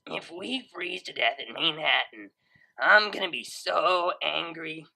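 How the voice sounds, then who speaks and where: Woman voicing a brash, creaky-voiced young unicorn DJ. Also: low voice, lively intonation, measured pace, pushed and bright, exaggerated voice, poor audio. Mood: joking. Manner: casual, slangy, teasing.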